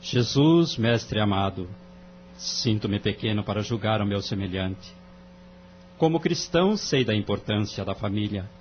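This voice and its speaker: A man's voice: on the low side, expressive, unhurried. Man playing a grave middle-aged judge, humming recording. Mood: prayerful. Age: 50s. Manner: formal, solemn. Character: grave, devout, conscientious male judge around fifty. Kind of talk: audio drama acting. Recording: dull lo-fi audio with mains hum.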